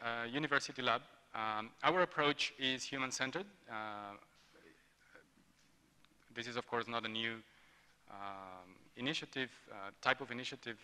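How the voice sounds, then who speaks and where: A man's voice: mid-range voice, sharp tone, clean tone. A man in his forties from Mexico, an industrial designer giving a hesitant panel talk at a conference table mic, with many ums and restarts. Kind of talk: panel presentation. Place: large hotel ballroom roundtable. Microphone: table gooseneck mic. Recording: long hall reverb.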